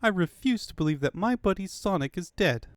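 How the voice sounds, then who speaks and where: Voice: medium pitch, expressive, a little fast, no echo, studio quality, close to an everyday voice. A performer voicing an earnest, anxious young fox sidekick in a cartoon comic dub. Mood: defiant. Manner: anxious, pleading.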